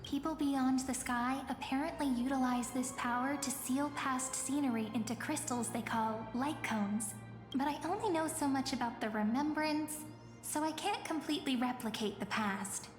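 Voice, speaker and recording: A woman voicing a gentle, eager young storyteller and guide in a video game, explaining things in a friendly, informal way. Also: high-pitched, normal speed, close to an everyday voice. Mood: eager, obliging. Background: faint game music.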